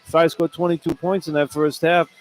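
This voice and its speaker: A man's voice: on the high side, level tone, normal speed. A man doing unscripted halftime radio commentary on basketball in a conversational, blunt and critical manner.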